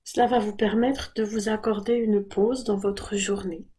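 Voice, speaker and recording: Female meditation guide, mellow and breathy, very measured pace, calm and gentle instruction. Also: medium pitch. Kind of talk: guided body scan meditation.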